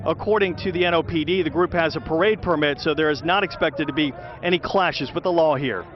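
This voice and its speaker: Male field news reporter, higher-pitched voice, slightly dull audio with a clear street crowd behind. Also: fairly quick. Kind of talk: live and packaged news report.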